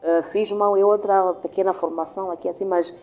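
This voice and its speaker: A woman pastor whose first language is Swahili, giving an earnest, reflective testimony in an interview, pausing and restarting as she goes. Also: mid-range voice, steady tone, a little mellow, soft background music.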